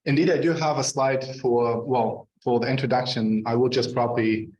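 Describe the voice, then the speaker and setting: A middle-aged man from Palestine with a Palestinian Arabic accent, giving an informal academic talk in a halting, conversational way. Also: medium pitch, steady tone, darkish tone, a little hiss or hum. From Gaza, Palestine. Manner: conversational, halting, frequently checks in with "right?" and "like". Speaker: professor, invited speaker. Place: indoor lecture room. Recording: very echoey room, distant muffled mic.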